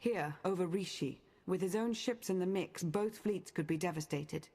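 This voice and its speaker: An actress voicing a composed, analytical woman in a video game, with a measured, precise way of talking. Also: on the low side, warm tone, breathy edge.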